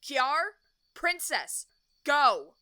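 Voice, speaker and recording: Female knight, woman acting: bright forceful tone, slow delivery, battle-weary, fantasy drama. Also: sits high, very animated, dry recording, studio quality, full character voice. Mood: urgent.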